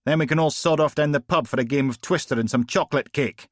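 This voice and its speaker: A man's voice: medium pitch, normal speed, no echo, clean studio sound, voice pushed up, natural voice. A man voicing a sarcastic, bossy middle-aged female detective.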